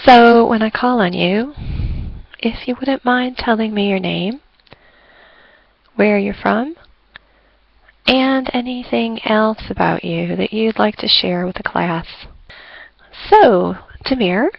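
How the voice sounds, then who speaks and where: A woman's voice: mid-range voice, gravelly, dry recording, muffled recording. A woman teaching an online English class, politely calling on each student to speak. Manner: polite, measured, repeats prompts.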